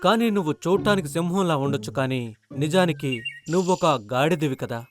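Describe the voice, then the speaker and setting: Man as a solemn sage, slightly high voice. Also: lively intonation, natural voice. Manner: measured, admonishing. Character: solemn male sage granting wishes. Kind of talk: children's cartoon story dubbing. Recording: music bed behind the voice.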